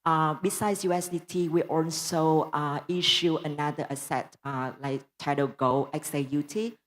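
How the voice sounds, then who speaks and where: Vietnamese-accented woman panelist, notably low pitch, courteous and filler-heavy. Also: fairly even intonation, normal speed. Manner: polite, frequent uh fillers. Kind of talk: conference panel introduction. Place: conference hall panel.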